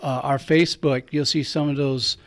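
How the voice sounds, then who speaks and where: A man's voice: medium pitch, fairly even intonation, normal speed. A man, a priest who hosts a radio talk show, chatty and joking as he wraps up with thanks and plugs. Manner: chatty, jokey, warm sign-off.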